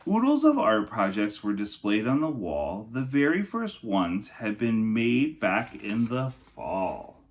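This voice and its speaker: A man's voice: on the low side, huge pitch swings, slow pace, few pauses. A man reading a storybook aloud as a teacher, expressively, speaking directly to young children.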